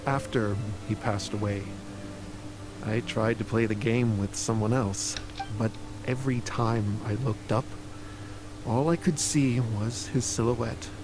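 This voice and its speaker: An actor playing an earnest, grieving scholar, a devoted friend, in a video game. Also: male voice, mid-range voice, fairly even intonation, a little slow, background noise, lightly acted. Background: background music.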